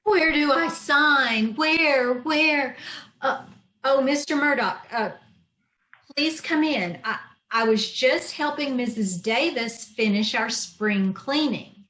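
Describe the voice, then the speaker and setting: A woman's voice: sits high, slow pace, exaggerated voice. Woman acting a wry schemer in an echoey room. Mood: flirtatious, wry. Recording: roomy, echoing video-call recording.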